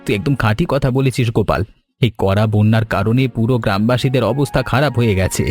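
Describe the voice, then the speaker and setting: Guy doing worried village meat seller, talks fast, cartoon, faint music. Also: medium pitch, darkish tone, close to an everyday voice. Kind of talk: animated moral story dubbing.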